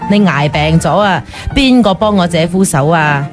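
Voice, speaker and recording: Woman acting gossipy pushy sister-in-law in a radio drama, very emphatic, music clearly behind her. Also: on the low side, moderate pace, lightly acted. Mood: proud, chatty. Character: chatty, forthright sister-in-law, effusive and pushy.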